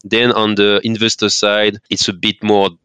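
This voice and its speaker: A man's voice: fairly low pitch, monotone, normal speed, pushed and bright, clear, resonant tone, no room sound. A French man with a French accent, speaking as a podcast guest in a casual interview, hesitant, with many fillers and restarts. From France.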